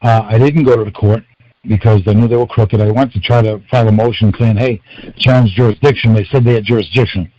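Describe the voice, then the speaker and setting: Man, fairly low pitch, dull call audio. Casual participant, halting and self-correcting. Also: fairly even intonation. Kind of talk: casual personal account on a conference call. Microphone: phone call. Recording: dull lo-fi conference line.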